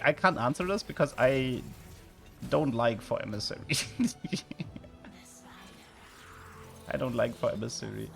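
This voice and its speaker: A man from southern Germany with a German accent, a streamer chatting with viewers and commenting on his game, with sudden excited outbursts. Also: medium pitch, vocal fry.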